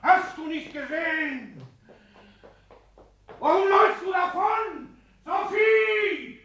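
Gloating old tycoon father, theatrical radio drama, very high strained pitch, heard from off mic in a roomy studio. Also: slow, far from the mic, some chatter behind, heavily acted. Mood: gloating, frantic. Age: older. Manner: theatrical, exclamatory, swinging from shouts to gasping murmurs. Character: triumphant, raving old patriarch and tycoon, failing but gloating. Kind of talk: 1960s German radio play acting.

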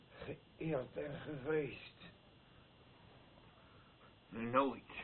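A man's voice: mid-range voice, slight background noise. An actor in a radio play playing a deranged man who rambles and repeats himself, by turns pitiful and menacing. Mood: defiant raving. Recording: thin phone-like old radio recording.

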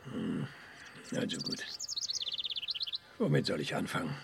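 Gruff older male scientist, retired and easily riled; gravelly, halting, radio drama over audible background noise. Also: sits low, sing-song, exaggerated voice. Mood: hesitant, reflective. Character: retired male space scientist, gruff, indignant, hot-tempered. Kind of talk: German radio drama acting.

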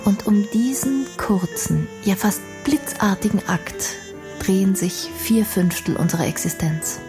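A woman's voice: mid-range voice, lively intonation, measured pace, music in the background. An actress playing an intelligent, outspoken young woman in a radio play, speaking in an articulate, reflective and earnest way.

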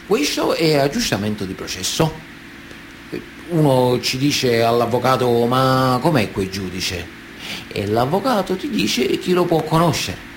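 An actor playing a proud, evasive man of honour in a radio play, with deliberate, sententious delivery. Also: medium pitch, big pitch swings, a little hiss or hum. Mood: sly, matter-of-fact. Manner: deliberate, sententious, with weighty pauses. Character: proud, evasive Sicilian mafioso, a self-styled man of honour. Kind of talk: theatrical monologue in a radio play. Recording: music under the voice. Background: music.

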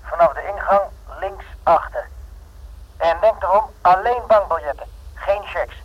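Shady informant on muffled phone line, husky highish voice, curt and pushy, radio play. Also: male voice. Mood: curt, menacing. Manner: curt, insistent, deliberate. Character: shady, demanding male informant selling secrets by phone. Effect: telephone filter. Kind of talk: radio drama acting.

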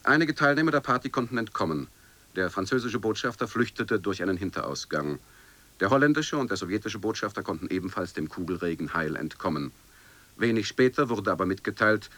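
A man narrating a scripted radio piece in formal, measured declamation, like a chronicle or news report. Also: lowish voice, fairly bright tone.

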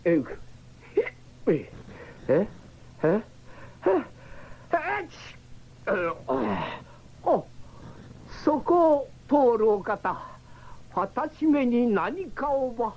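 Very slow, theatrical old-style drunkard. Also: mid-range voice, big pitch swings. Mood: self-pitying. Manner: theatrical, archaic, pleading. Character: destitute drunken British ex-actor, pompous, self-pitying. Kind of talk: 1970s radio drama acting. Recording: dull, slightly noisy old radio recording.

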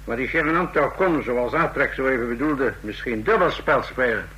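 A sober male army general in a radio drama. He sounds crisp and forceful, yet cautious and sceptical. Faint hum lies on the old recording. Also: medium pitch, expressive, normal speed, poor audio. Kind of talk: Dutch radio play acting.

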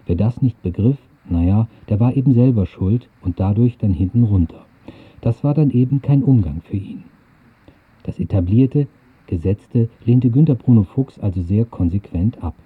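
A man's voice: on the low side, expressive, moderate pace, breathy edge, narrow, tinny audio. A man presenting on radio, reading a scripted introduction to an audio play.

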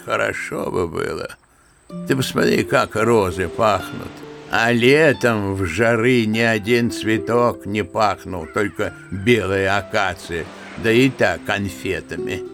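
An actor playing a genial elderly general in a radio play, sighing and reminiscing like an old-fashioned storyteller. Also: male voice, on the low side, slow pace, vocal fry, music in the background. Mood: wistful, tender.

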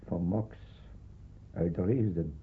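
A man acting in a radio drama as a devout, solemn father of a family, speaking deliberately. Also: sits low, husky, exaggerated voice. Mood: subdued. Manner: slow, deliberate. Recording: thin phone-like radio drama recording.

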